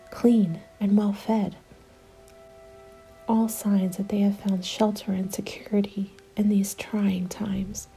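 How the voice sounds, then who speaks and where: A woman narrating a scripted first-person ASMR story in a soft, measured, often whispery delivery. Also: mid-range voice. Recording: background music under the voice. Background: music.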